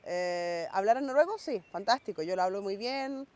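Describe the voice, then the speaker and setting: A woman's voice: mid-range voice, lively intonation, normal speed, a little mellow. A woman raised in Norway, reflecting in a documentary interview and speeding up when she quotes her parents.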